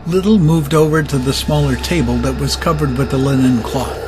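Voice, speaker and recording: A man's voice: mid-range voice, steady tone, brisk, background noise. A man narrating a dramatized audiobook in a scripted storytelling style. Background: music.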